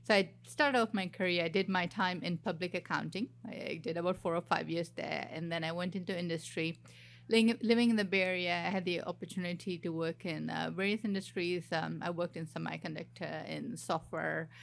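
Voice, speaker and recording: A woman's voice: fairly low pitch, steady tone, a little fast, vocal fry. A woman from southern India, a company CFO, introducing herself on a conference panel in an earnest way with frequent fillers. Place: conference stage.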